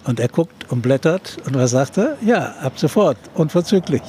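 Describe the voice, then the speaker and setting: An older man, a journalist and TV presenter, recounting a historical event in an interview with many pauses and filler sounds. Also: fairly high pitch, lively intonation, warm tone, slight background noise. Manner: hesitant storytelling with many fillers.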